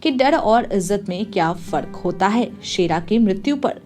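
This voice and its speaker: A woman's voice: mid-range voice, expressive, measured pace, darkish tone, faint music behind. A woman storyteller reading a scripted moral tale as narration.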